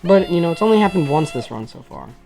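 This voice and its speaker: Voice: mid-range voice, very animated, fast, darkish tone, faint music behind, rough recording. A gaming video creator giving casual, rambling, informal commentary over gameplay.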